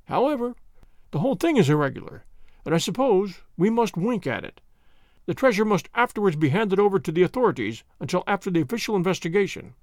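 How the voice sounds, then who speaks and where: A man's voice: high voice, very animated, fast talker, darkish tone, no room sound, clean studio sound. A man voicing a hearty, blustering middle-aged police inspector. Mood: grudging.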